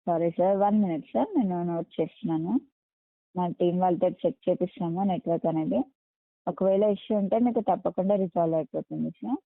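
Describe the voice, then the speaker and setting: Woman, customer care agent, rapid delivery, deferential and reassuring, tinny phone audio. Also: lowish voice, gravelly, dry recording. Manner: apologetic, reassuring, deferential with frequent "sir". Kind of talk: phone customer support, polite scripted phrases. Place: on a phone line. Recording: tinny, narrow phone call recording.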